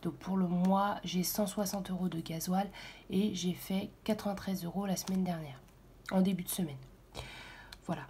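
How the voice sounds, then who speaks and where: A hoarse woman speaking softly and half-whispering at home in the early morning, hesitant and full of fillers as she walks through her weekly budget. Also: fairly low pitch, fairly even intonation, moderate pace, slight background noise. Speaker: budget vlogger. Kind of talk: casual budget walkthrough.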